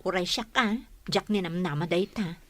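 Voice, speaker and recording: A woman's voice: lowish voice, normal speed, no room sound, natural voice. A woman playing a dutiful, guarded young woman in a Filipino radio drama, earnest and cautioning, with a Filipino accent. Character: dutiful, guarded young woman putting family before romance.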